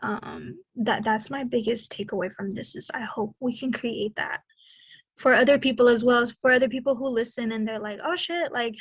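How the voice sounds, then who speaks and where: A woman's voice: on the high side, moderate pace, slightly rough, dry recording. A woman in her twenties co-hosting a podcast, talking in a reflective, rambling way with many fillers. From Mexican American. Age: 20s. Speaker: podcast co-host. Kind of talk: casual conversation.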